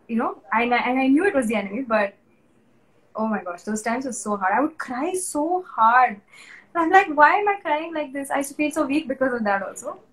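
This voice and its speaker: A woman's voice: on the high side, low-quality recording. A young woman with an Indian English accent, an interview guest telling her personal testimony in an earnest, emotional and candid way. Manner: earnest, emotional, candid, with restarts and soft laughs.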